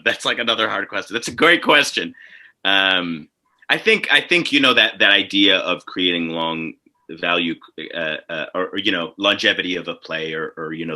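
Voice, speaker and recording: A man on a video call speaking casually as a panelist, thinking aloud in a halting way with many repeats. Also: low voice, sharp tone. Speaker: panelist, theatre artist. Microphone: video call microphone.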